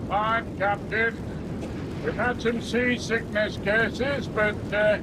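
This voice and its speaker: A man's voice: steady tone, slow. An actor playing a dutiful, eager crewman in a 1970s radio drama. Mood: respectful. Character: dutiful, eager male crewman aboard a life raft. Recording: 1970s radio recording, loud rumbling noise.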